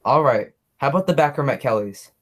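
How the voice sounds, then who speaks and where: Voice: mid-range voice, animated, a little fast, a bit bright. A performer playing a friendly, dim, folksy policeman in an amateur radio play. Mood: eager, chatty. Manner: folksy, colloquial. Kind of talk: radio play acting, high school theatre.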